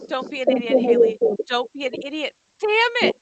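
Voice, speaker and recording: Woman acting a shrill terrified horror final girl. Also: sing-song, normal speed, lo-fi sound, over a noisy crowd. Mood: panicked. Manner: shrieking, pleading, breathless desperation. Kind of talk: amateur horror script table read acting.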